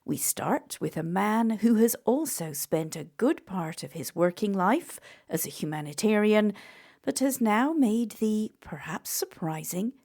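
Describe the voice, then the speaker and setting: A middle-aged woman hosting a podcast, reading a composed scripted intro and asking gently probing questions. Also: mid-range voice, animated, unhurried. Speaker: podcast host.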